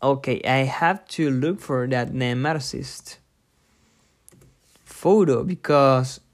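A podcast host giving an informal lesson from a book, thinking aloud haltingly and repeating difficult words. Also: medium pitch. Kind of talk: informal lesson read from a book with personal asides.

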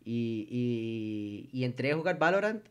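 A man's voice: mid-range voice, big pitch swings, a little slow, clean tone. A young man with a Costa Rican accent hosting a casual group chat, laid-back and slangy with lots of filler words. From Costa Rica.

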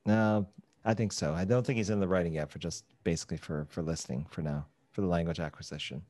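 Middle-aged male co-host, very deep voice, dry close sound, measured and thoughtful. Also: steady tone, darkish tone, no echo. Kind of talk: casual interview chat.

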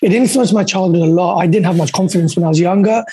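A man with a husky voice, a podcast guest telling his story in a quick, earnest way and often repeating words. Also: high voice, dark tone. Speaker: podcast guest, dentist and coach. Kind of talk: candid storytelling.

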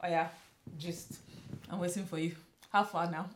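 A woman co-hosting a casual podcast chat in a loud, animated way, laughing often. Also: on the low side, moderate pace, reverberant, slight background noise. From London, UK. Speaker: podcast co-host.

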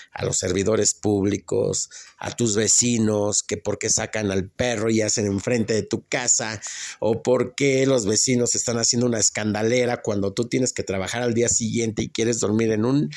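Mexican man with a creaky voice. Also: mid-range voice, a little mellow. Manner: conversational, acts out remembered exchanges. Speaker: speaker sharing personal experience. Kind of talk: casual personal monologue.

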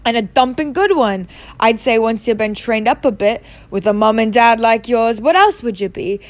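A huge, gruff man from a storybook, played by a woman, booming and growling in blunt rustic speech. His temper is fierce, and the pitch rides high for such a giant. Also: moderate pace, lightly acted. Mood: hearty, proud.

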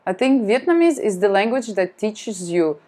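A woman whose first language is Russian, chatting as a podcast guest in a lively, self-deprecating way. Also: medium pitch, normal speed. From France. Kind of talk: casual chat.

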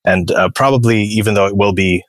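A man hosting a podcast interview, speaking haltingly with many fillers and restarts. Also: lowish voice, dry recording, clean studio sound. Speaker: podcast host.

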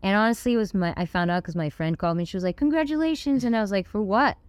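A woman guest on a podcast who talks in a relaxed, reflective way and often restarts her sentences. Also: medium pitch, rapid-fire, clear, resonant tone, dry recording. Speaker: guest musician. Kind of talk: casual interview.

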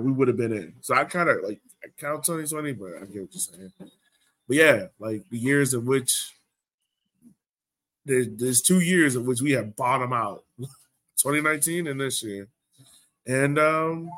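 A man's voice: mid-range voice, fairly bright tone. A man hosting a sports podcast, chatting casually and informally. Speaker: podcast host.

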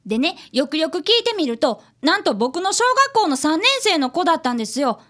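Bright, high-voiced earnest boy detective played by a woman. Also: brisk. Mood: earnest reporting. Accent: Kansai Japanese. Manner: polite, earnest, animated. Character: earnest fifth-grade boy, eager apprentice detective. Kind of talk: radio drama acting and narration.

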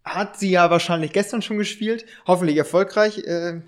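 A male podcast host with a high-pitched voice chats casually. He explains things haltingly, rephrasing as he goes, in an informal conversational style. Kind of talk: casual podcast chat in German.

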